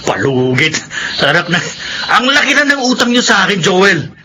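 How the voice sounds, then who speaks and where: A man's voice: high-pitched, big pitch swings, moderate pace, bright, forceful tone, slight background noise, heavily acted. A Filipino actor playing a ruthless, bullying moneylender and family man in a radio drama, scornful and domineering. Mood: threatening.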